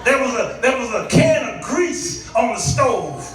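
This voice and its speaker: An American man preaching in an animated, folksy storytelling style to a congregation. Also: very high voice, a little slow, music in the background, a crowd in the background. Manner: animated, folksy, acting out exchanges; rhetorical questions to the congregation. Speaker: guest preacher. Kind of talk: storytelling sermon. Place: church, before a congregation. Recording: echoey hall, picked up from far off.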